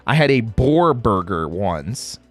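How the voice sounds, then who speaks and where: A man's voice: fairly low pitch, big pitch swings, slow pace, no echo, faint music behind. A man in a playful, casual livestream chat, reading out viewer comments and replying in slangy speech. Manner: playful, reads chat aloud and replies.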